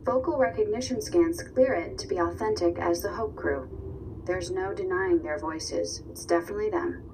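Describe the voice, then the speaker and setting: A woman's voice: lowish voice, normal speed, music in the background, lightly acted. A woman playing a confident, matter-of-fact agent in an audio drama. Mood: certain. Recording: distant, with noisy ambience.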